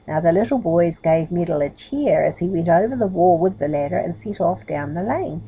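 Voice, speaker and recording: A woman's voice: fairly low pitch, expressive, moderate pace, mellow, slightly rough, poor audio. A woman reading a children's story aloud expressively, with chatty asides to a young listener. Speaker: storybook reader.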